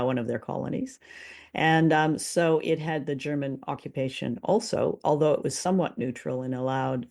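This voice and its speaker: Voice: medium pitch, gravelly, darkish tone. A podcast host talking in a casual, explanatory discussion style.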